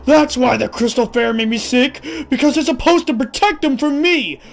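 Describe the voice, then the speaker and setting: A performer voicing a confused, earnest young unicorn colt with halting, plaintive delivery in a fan comic dub. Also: sits high, creaky. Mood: upset realization.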